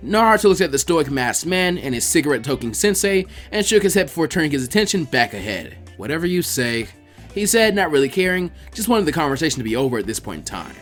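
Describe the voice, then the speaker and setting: Lively, higher-pitched man narrating a story. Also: quick pace, hardly any pauses, faint music behind. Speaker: fan fiction narrator.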